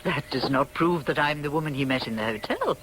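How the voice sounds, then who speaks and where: A woman's voice: deep voice, animated, a little fast, noisy background. An actress in a radio drama playing a stern middle-aged upper-middle-class English lady, formal and haughty in manner. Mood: cold dismissal.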